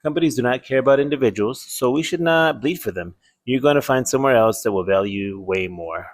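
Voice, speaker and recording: A man's voice: mid-range voice, fairly quick, dry recording. A man hosting a reaction video, chatting casually and reading viewer comments aloud.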